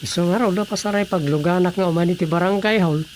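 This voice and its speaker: A man's voice: high-pitched, quick pace, a little hiss or hum, lightly acted. A man with a Filipino accent acting an easygoing husband in a radio drama. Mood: casual.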